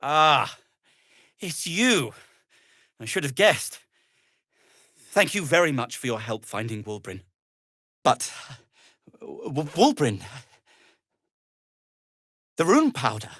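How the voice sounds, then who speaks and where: An actor playing an anxious, devoted gnome inventor in a video game, speaking in a hesitant, politely formal way. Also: male voice, on the high side, sing-song, slow pace, long pauses, bright, forceful tone, no room sound, clean studio sound, exaggerated voice. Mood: polite, grateful, then hesitant, placating. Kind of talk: video game character acting.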